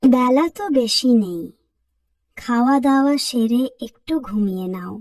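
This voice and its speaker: Lady playing worried nagging cartoon village wife, slightly high voice, a bit far from mic. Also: a little slow, a little mellow, no echo, natural voice. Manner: fussing, admonishing. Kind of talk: cartoon folk tale dialogue.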